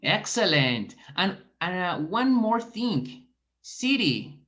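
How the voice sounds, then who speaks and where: Slow, patient woman teacher on a call. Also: fairly low pitch, sing-song, fairly bright tone. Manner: slow, encouraging, questioning. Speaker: teacher trainee giving feedback. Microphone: video call. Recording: dull video call audio.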